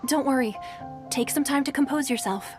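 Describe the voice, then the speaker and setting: Game role: polite female crew guide voiced by a woman, high, formal. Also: brisk, close to an everyday voice. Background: game music.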